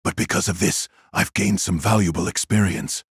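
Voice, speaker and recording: A man playing a cool, mocking warrior in an audio drama, speaking in a measured, condescending way. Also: low voice, fairly even intonation, husky, no echo, studio quality. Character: cool, mocking warrior taunting a fallen foe.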